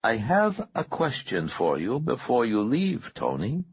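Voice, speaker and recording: A man acts out a masked male interrogator from a thriller audiobook. He speaks softly and patiently, with polite menace and swooping intonation. The voice is husky and the recording slightly muffled. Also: mid-range voice, huge pitch swings, no room sound, voice pushed up, heavily acted. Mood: calm menace. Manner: soft-spoken, unhurried, falsely courteous. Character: calm, menacing balaclava-masked male interrogator with irritating accent. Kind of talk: audiobook character dialogue.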